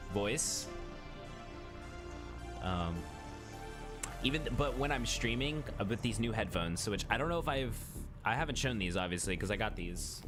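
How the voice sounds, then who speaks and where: A young man streaming live, chatting casually with his viewers while he plays, rambling and restarting his sentences often. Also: fairly low pitch. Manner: rambling, talks to chat, frequent restarts. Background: loud music.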